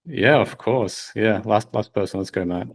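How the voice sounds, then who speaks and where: A man's voice: fairly low pitch, normal speed. A man hosting a course video call, upbeat and encouraging. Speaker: course host. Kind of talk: casual call wrap-up.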